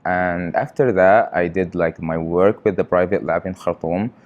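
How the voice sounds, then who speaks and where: Deep, mellow young man, halting and earnest. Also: muffled recording. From Qatar. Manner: earnest, halting, often pausing on fillers. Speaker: research trainee interviewee. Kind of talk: casual interview answers.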